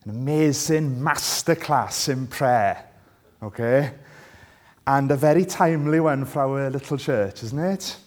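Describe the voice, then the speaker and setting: Slow-paced Welsh man preaching. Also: medium pitch. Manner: conversational, asks listeners rhetorical tag questions. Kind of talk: sermon with scripture reading.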